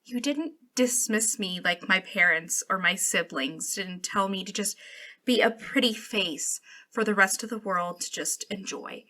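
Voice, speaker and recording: A woman's voice: mid-range voice, bright, forceful tone. A woman playing an arrogant, jealous young princess in a dramatic ASMR roleplay, imperious but wounded and emotional. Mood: wounded, pleading. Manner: imperious, emotional, halting when hurt. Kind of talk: ASMR roleplay, dramatic one-sided dialogue.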